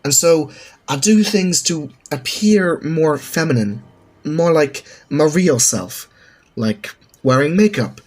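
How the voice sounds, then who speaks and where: Man voicing a teasing, husky young woman. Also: fairly high pitch, expressive, slow. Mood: frank, casual. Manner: mocking, casual, slangy. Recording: music under the voice.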